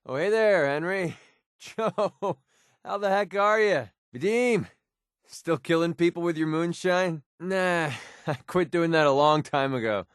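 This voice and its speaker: An actor playing a wry, world-weary young mercenary in a video game, with an American accent and a casual, sarcastic way of talking. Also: male voice, on the high side, expressive, measured pace, fairly bright tone, clean tone, no room sound, studio quality. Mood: friendly, upbeat. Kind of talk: video game character voice lines.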